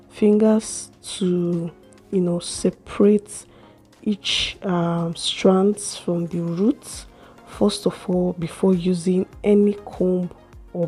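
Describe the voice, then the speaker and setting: A young woman with a slightly hoarse voice, chatting slowly through a hair care vlog in a small room. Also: fairly low pitch, fairly even intonation, warm tone, faint music behind. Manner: slow, chatty explaining with fillers. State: a little hoarse from a cold. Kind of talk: casual how-to vlog.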